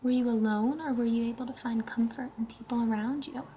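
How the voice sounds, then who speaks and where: A woman reading a children's story aloud in a gentle, hushed, unhurried way, pausing to put questions to her listeners. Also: on the high side, steady tone, breathy edge. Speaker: storybook reader.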